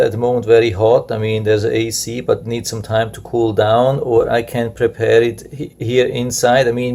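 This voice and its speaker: A man's voice: medium pitch, darkish tone. A man casually reviewing a gadget hands-on, explaining it plainly, step by step. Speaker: product reviewer.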